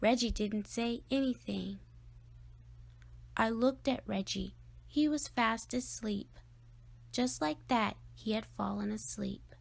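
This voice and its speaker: A woman's voice: a little high, slow pace, creaky, pauses often. A woman reading a children's storybook aloud as a scripted narration. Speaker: storybook reader.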